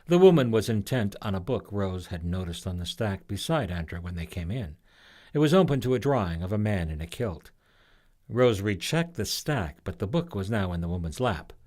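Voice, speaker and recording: A man reading his own children's story aloud in an expressive scripted narration. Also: deep voice, moderate pace.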